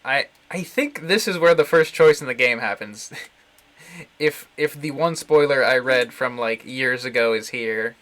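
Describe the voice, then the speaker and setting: A man giving casual, halting live commentary on a visual novel, chuckling to himself as he rambles. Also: mid-range voice, fairly bright tone. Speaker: game streamer, host. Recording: game music behind the voice. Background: video game music.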